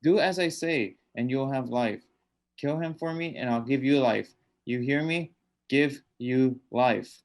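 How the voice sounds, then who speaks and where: A man's voice: mid-range voice, a little slow, lightly acted. An actor playing a sinister undead old man in a radio drama, coaxing and menacing with insistent, repeated commands. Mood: urgent, insistent.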